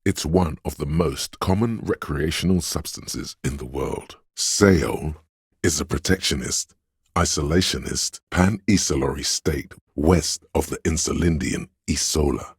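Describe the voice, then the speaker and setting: Game inner-voice role: encyclopedic know-it-all man, extremely low and very smooth, resonant, measured, faintly condescending. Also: dry recording, clean studio sound, full character voice. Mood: measured, informative. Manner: measured, scholarly, dryly condescending. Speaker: game narrator. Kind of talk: scripted game narration.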